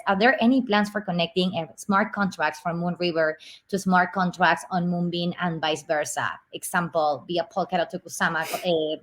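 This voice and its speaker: A woman's voice: on the low side, a bit bright. A woman casually hosting an online community event over a video call, moderating and passing on information.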